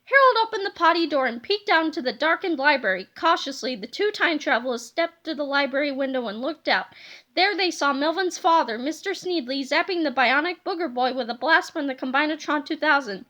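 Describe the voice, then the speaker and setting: A woman reading a children's book aloud with expressive storytelling. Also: high-pitched, brisk. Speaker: storybook reader.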